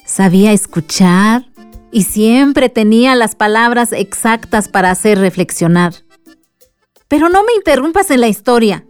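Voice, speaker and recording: Woman acting fond village storyteller, smooth clear voice, fairly high, radio drama, music underneath. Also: expressive, unhurried, no echo. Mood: fond, reminiscing.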